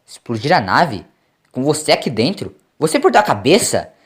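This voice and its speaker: A man's voice: mid-range voice, huge pitch swings, normal speed, pitched above the performer's own voice. A man voicing a loyal, perplexed young Scotsman who protests in an agitated, exclaiming way, in an audio drama. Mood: perplexed, alarmed.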